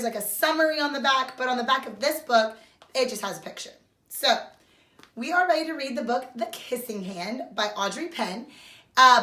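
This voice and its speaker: A woman's voice: fairly high pitch, sharp tone. A woman teacher doing a read-aloud lesson for young children, explaining simply and addressing them directly. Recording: roomy echo.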